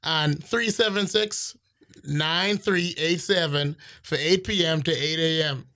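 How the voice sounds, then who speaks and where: A man's voice: on the high side, slow pace, bright, forceful tone. A young man with a Bahamian accent hosting a podcast in a casual, joking way, laughing often. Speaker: podcast host. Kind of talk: casual chat.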